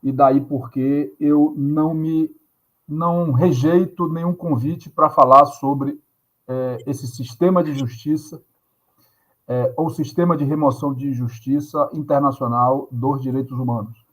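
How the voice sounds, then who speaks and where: A man's voice: mid-range voice, poor audio. A man, a guest professor speaking earnestly in a podcast interview, with frequent hesitant fillers. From Salvador, Bahia, Brazil.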